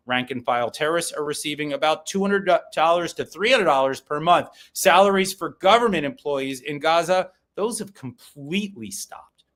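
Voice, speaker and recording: A man hosting a news podcast, reading headlines briskly and adding short opinionated asides. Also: on the high side, fairly bright tone. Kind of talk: news brief with personal asides.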